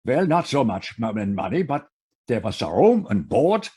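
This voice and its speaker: Husky, bitter old German farmer. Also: male voice, mid-range voice, sing-song, normal speed, mellow. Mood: hurt, defensive. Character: bitter, aggrieved old widowed farmer from Ohio. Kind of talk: Western radio drama acting.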